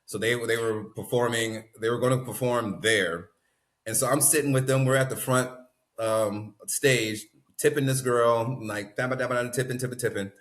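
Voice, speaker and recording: A young adult man with a bass voice, a guest telling stories in a casual interview, animated and laughing often. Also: normal speed. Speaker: guest, actor and musician.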